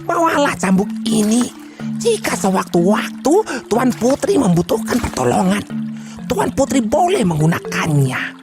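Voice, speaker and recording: A kindly magical stork voiced by a woman in a children's folktale cartoon. The voice is fairly high and lilting, with music clearly behind it. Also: big pitch swings, unhurried. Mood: kindly, advising. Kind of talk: children's animated folktale dialogue.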